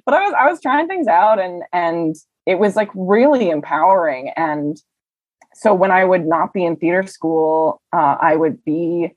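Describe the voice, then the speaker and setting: A young woman telling stories in a casual interview, chatty and animated, with lots of "um" and "like". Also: fairly low pitch, a little slow, no echo, dull audio. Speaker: interview guest. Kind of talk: casual conversational storytelling.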